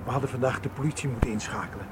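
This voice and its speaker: A man's voice: medium pitch, rapid-fire, close to an everyday voice. A man acting in a radio drama as a cautious, uneasy and reluctant companion. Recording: noisy radio play with vehicle ambience.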